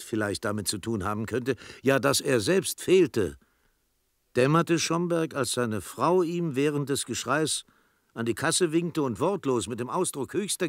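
An actor as the narrator of a radio drama, reading scripted literary narration in a measured, wry way. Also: male voice, mid-range voice, no room sound, studio quality.

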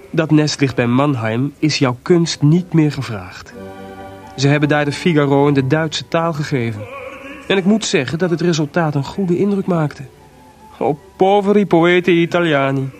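An actor playing a proud, jealous composer with a sarcastic, theatrical delivery in a radio play. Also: male voice, fairly high pitch, close to an everyday voice. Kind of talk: radio drama acting.

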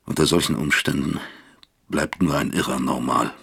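An actor playing a weary, brooding older professor who reflects gravely in a radio drama. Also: male voice, a bass voice, fairly even intonation, normal speed, background noise. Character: weary, brooding male East German professor, troubled narrator. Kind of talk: radio drama acting and narration.

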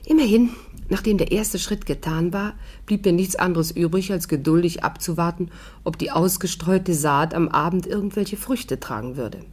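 An actress playing a clever, courteous amateur sleuth who also narrates a 1950s radio play, earnest and articulate. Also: female voice, on the low side, normal speed, a little mellow, lightly acted. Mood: reflective narration. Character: clever, polite amateur sleuth bluffing as secretary. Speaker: heroine and narrator.

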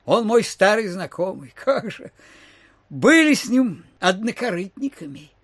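An actor playing an old, miserly landowner in an audio play, muttering and pleading, repeating himself in a husky, wheezy voice. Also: male voice, high-pitched, big pitch swings, unhurried, a bit bright, no room sound, studio quality, exaggerated voice. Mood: bossy, muttering. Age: older. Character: shrivelled old miserly landowner, wheedling and fussy.

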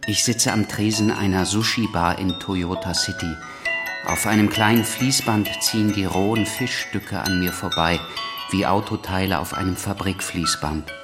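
Radio drama: actor as solemn, obsessive businessman, slightly deep, ceremonious first-person telling. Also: steady tone, moderate pace, over loud music, close to an everyday voice. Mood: observant.

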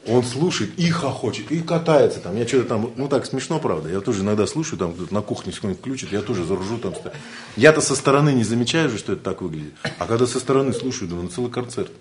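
A man's voice: mid-range voice, lively intonation, fast talker, background noise. A man from Siberia with a Siberian Russian accent, giving an informal spiritual lecture in a joking, rambling way and laughing often.

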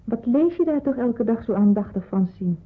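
Brisk, slightly husky wartime nurse. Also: female voice, fairly high pitch, lively intonation. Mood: curious. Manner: brisk, organising. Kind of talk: radio drama acting. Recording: muffled, phone-like radio play recording.

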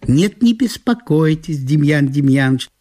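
A self-important provincial gentleman played by a man speaks with ceremonious, unctuous courtesy. The voice rises high and swoops politely, in the manner of a radio play. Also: expressive, a little slow. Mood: ceremoniously polite. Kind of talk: theatrical radio monologue.

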